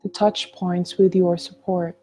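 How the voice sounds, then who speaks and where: Woman doing guided meditation, super mellow n soothing, lots of pauses, bit of background noise. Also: fairly low pitch, level tone. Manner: gentle, soothing, unhurried with long pauses.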